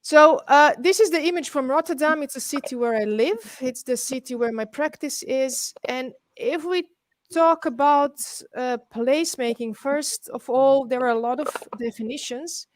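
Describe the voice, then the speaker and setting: Higher-pitched Serbian-accented woman presenting. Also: normal speed, dry recording. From Belgrade, Serbia. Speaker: guest presenter, urban planner. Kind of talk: webinar presentation. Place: indoors, on an online webinar call.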